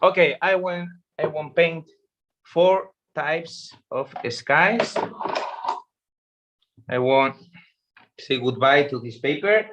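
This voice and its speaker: A man teaching watercolor painting in a live online demo, speaking halting with many pauses, on a video call. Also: fairly high pitch, a bit bright, a little hiss or hum. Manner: halting non-native English with many pauses. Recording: slightly dull lo-fi stream audio.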